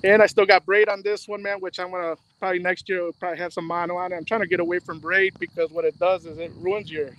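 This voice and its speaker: A man's voice: high voice, level tone, fast, hardly any pauses, a bit bright, dull audio, slight background noise. Laid-back man fishing at night. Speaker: guest angler. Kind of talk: casual chat on a video call. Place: riverbank at night, outdoors.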